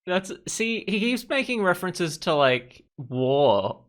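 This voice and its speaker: A man's voice: sits high, sing-song, slow pace, fairly bright tone. A man hosting a gaming video, casually commenting and reading game text aloud with conversational asides.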